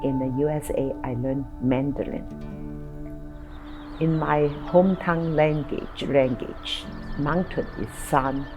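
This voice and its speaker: Older woman, halting speech. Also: low voice, fairly even intonation, over music. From China. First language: Toisanese. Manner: halting, searching for words. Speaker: interviewee. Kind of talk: documentary interview.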